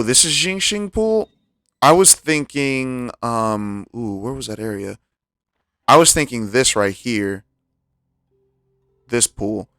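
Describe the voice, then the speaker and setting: A man's voice: mid-range voice, a bit bright, no echo. A man giving casual gameplay commentary as a streamer, thinking aloud as he goes. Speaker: game streamer.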